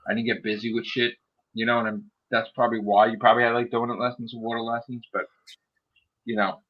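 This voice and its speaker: A man's voice: mid-range voice, flat delivery, fast talker, muffled recording. A man hosting a casual group chat, rambling and swearing easily as he tells stories. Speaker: host.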